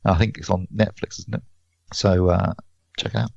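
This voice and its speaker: Man, gravelly, casual podcast chat, thinking aloud, roomy muffled sound. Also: low voice, steady tone, moderate pace, darkish tone. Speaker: podcast co-host and film reviewer. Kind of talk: casual film release chat. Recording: dull, roomy lo-fi podcast audio.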